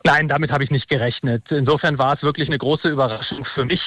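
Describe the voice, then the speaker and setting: A man's voice: medium pitch, steady tone, fast, fairly bright tone, no echo. A man in his fifties, a re-elected mayor, giving an earnest soundbite. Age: 50s. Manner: grateful, earnest. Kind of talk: interview soundbite.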